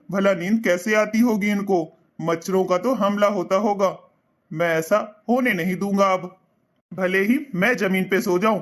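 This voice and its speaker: Earnest young son in a cartoon, high voice, really sincere, guy voicing. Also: lightly acted.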